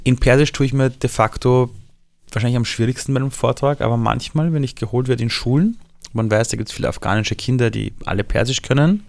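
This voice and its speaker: A man from Iran with a Viennese accent, telling his story in a relaxed interview with lively, animated delivery. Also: mid-range voice, a little fast, creaky, no room sound. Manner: lively storytelling, shifts voice for quotes.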